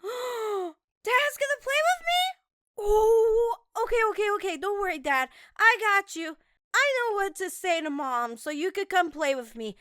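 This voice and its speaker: Very high-pitched, bubbly little girl. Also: animated, unhurried, a bit bright, no echo, studio quality, exaggerated voice. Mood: excited, scheming. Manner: childish, dramatic, bubbly. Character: excitable chatty little girl, adoring daughter pleading for playtime. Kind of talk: anime audio roleplay acting.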